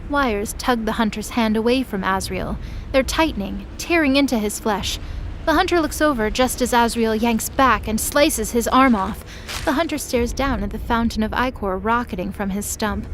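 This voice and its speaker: A woman narrator tells a dramatic scripted story in a fairly high voice. There is clear noise in the background of the recording. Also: moderate pace.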